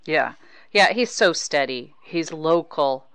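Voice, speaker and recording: A woman's voice: low-pitched, expressive, takes it slowly, fairly bright tone, smooth, no echo. An American woman hosting a casual sports interview, chatting about baseball as a reporter. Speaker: podcast host and baseball reporter.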